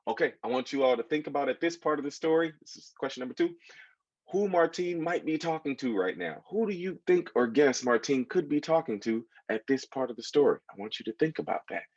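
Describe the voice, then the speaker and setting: An American man reads a story to children with a lively, swooping delivery. His voice is husky and mid-pitched, and he often turns to his listeners with asides. The sound is dry and a little dull. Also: brisk, dry recording. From United States. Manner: expressive, engaging listeners with asides. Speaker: children's author reading his book aloud. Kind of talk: animated storytelling to children, with questions.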